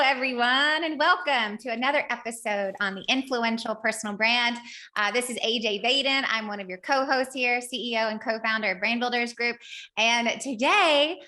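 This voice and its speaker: Bright, high-voiced effusive woman podcast host. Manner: effusive, gushing. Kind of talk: chatty podcast introduction and interviewing.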